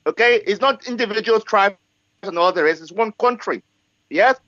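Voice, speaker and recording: Man, forties, from Africa, high voice, repeats points and keeps asking "okay?", dull recording. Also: moderate pace, sharp tone, no room sound. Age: 40s. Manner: emphatic, repetitive, rhetorical checks like okay and yes. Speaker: guest speaker. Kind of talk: live discussion.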